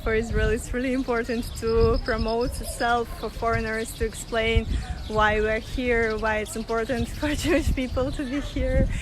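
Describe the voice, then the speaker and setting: A young woman from Russia leading a live-streamed walking tour outdoors, talking loosely and laughing often. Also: on the high side. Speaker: tour guide. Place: sunny city streets outdoors. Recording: phone audio with street noise.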